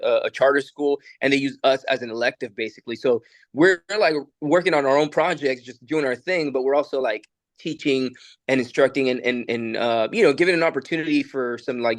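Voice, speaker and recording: A man's voice: mid-range voice, dry recording. A young man from El Salvador, a musician and studio producer, chatting casually in a recording studio and talking in a loose, rambling way with lots of fillers and restarts. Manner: laid-back, rambling, many fillers and restarts. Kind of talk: casual podcast conversation.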